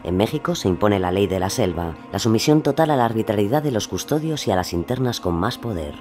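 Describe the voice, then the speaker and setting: A man's voice: on the low side, expressive, quick pace, a little mellow, faint music behind. A man narrating a scripted documentary drama.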